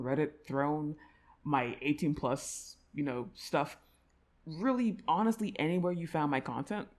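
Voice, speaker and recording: A woman's voice: sits low, darkish tone. A woman audio creator talking casually to her listeners in an effusive, rambling way. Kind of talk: casual, informal outro chat to listeners.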